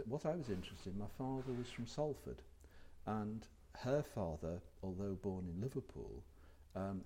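Older male ex-lecturer, deep husky voice, thoughtful and halting. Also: warm tone. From England. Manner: hesitant, reflective, many ums. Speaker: retired lecturer being interviewed. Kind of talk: oral history interview.

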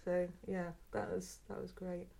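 A woman's voice: on the low side, completely flat delivery, unhurried, vocal fry, warm tone. A woman in her fifties with a mixed, mostly southern English accent, talking hesitantly in an oral history interview, often repeating words. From England. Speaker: former archive worker.

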